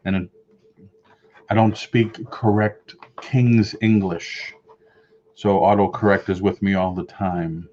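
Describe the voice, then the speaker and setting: A middle-aged man with a Boston accent talks loosely and chattily to his viewers, reading out their comments as he goes. His voice sits on the low side. The webcam audio is dull and lo-fi, recorded in a room at home. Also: steady tone, takes it slowly, a little mellow. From Boston area. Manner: rambling, chatty, reads and answers viewer comments. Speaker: live stream host. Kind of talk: casual live-stream chat. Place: home room with shelves of collectibles.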